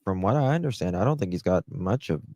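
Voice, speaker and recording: A middle-aged man with a Southern US accent, hosting a casual livestream into a close desk mic in a halting, unhurried way. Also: deep voice, expressive, a little mellow, no echo. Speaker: livestream host. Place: small room at home, indoors. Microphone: close desk microphone.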